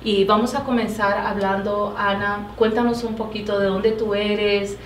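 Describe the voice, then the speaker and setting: A woman's voice: mid-range voice, flat delivery, a little slow, recorded from a distance. Woman host with echoey faraway sound. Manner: warm pastoral phrasing with fillers. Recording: echoey room, background noise.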